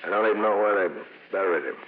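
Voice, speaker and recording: An actor playing a gruff but soft-hearted American racketeer and grieving father in a 1950s radio drama, talking in blunt, halting slang. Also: low-pitched, lightly acted. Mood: subdued, grieving. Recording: old radio broadcast, narrow, echoey, faint noise.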